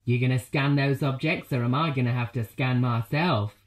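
A woman's voice: rumbling low, normal speed, echoey room. A woman voicing a flirty, teasing self-checkout machine with suggestive moans.

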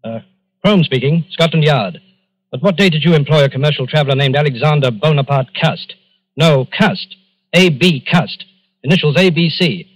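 An actor playing a brisk, businesslike Scotland Yard inspector in an old radio drama, clipped and authoritative. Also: male voice, on the high side, close to an everyday voice. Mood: brisk, questioning. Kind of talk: 1940s radio drama acting. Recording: muffled old radio recording.

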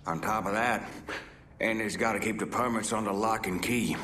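An actor playing a gruff, bitter working-class dock union man in a video game, blunt and profane. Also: on the low side, steady tone, normal speed, bright, forceful tone, a little hiss or hum. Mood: grumbling, bitter.

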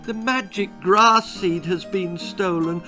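A man's voice: high voice, takes it slowly, sharp tone, soft background music, pitched above the performer's own voice, heavily acted. A man voicing a frail, emotional mother in a children's story, crying out plaintively. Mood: distressed.

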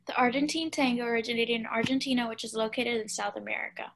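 A woman's voice: on the high side, fairly even intonation, fast talker, gravelly, a bit bright. A young woman from Puerto Rico reading a class presentation. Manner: reading from slides. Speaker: student presenter.

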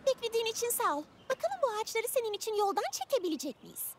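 Cartoon girl hero, very high and animated, cheerful, music underneath. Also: rapid-fire, a little hiss or hum. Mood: encouraging. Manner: eager, exclamatory. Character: cheerful eight-year-old girl hero who grants wishes. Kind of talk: children's cartoon dub.

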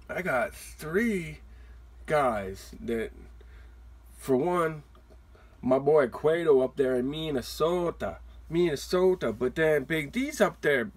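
A man hosting a card-opening video with excited, playful casual commentary, given to exclamations. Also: on the high side. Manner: exuberant, exclaiming and whooping, playful. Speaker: host of a trading card opening channel. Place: indoors, at a table with cards.

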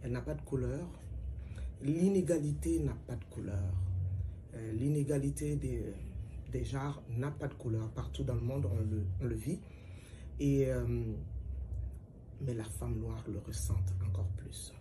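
A middle-aged woman from Mali, a singer and griot, giving measured, reflective interview answers with pauses. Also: a bass voice, dark tone, slight background noise. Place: plain indoor room, seated at a table.

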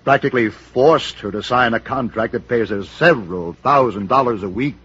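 A vain, pompous comedy star in a vintage radio play. His voice is husky, his manner haughty and mock-polite. The recording is dull and aged. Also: mid-range voice, normal speed, fairly bright tone. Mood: smug, wry. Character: pompous, arrogant male comedy star boss. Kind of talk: 1950s radio drama acting.

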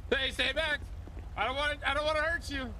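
A man improvising police roleplay in an online game, excitable and foul-mouthed, repeating words in bursts. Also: very high-pitched, a little fast, slight background noise, low-quality recording. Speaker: gamer role-playing a police officer.

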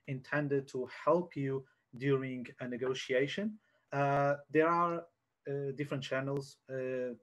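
A man, giving an informal online presentation as a sales coach in a hesitant, halting way, repeating words, on a headset. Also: medium pitch. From Portugal. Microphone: headset on a video call.

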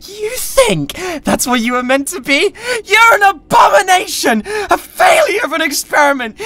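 A woman's voice: sits high, exaggerated voice. A British woman playing an unhinged, condescending mad scientist with a god complex in a theatrical ASMR roleplay. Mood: screaming rage. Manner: theatrical, condescending, swinging between hushed and screaming. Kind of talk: dramatic ASMR roleplay monologue.

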